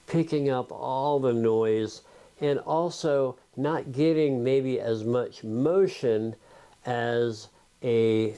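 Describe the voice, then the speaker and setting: A man's voice: medium pitch, warm tone. A man hosting a video, talking informally to viewers in an explanatory, conversational tutorial style. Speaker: video host.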